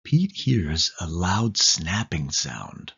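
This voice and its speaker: A man reads a children's picture book aloud in an animated, expressive storytelling style with deliberate phrasing. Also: low-pitched, gravelly, slightly rough, highs cut off. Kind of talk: animated read-aloud narration for young children.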